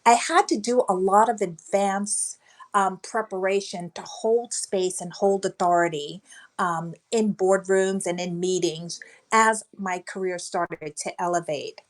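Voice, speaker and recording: A woman with a light voice speaking as a guest on an online panel about leadership, in a measured, deliberate way. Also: medium pitch. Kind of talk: panel talk answering a host.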